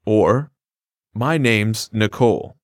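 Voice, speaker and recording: A man's voice: lowish voice, slow pace, no echo. A man co-hosting a scripted language lesson, explaining phrases in an upbeat, plain way. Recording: dry studio recording.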